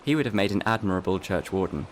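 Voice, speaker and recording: A man's voice: deep voice, animated, fast, slight background noise. A man acting a scholarly, scornful character with precise, lofty delivery in a literary drama. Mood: wistful, scornful. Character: scholarly, scornful man devoted to Latin and order.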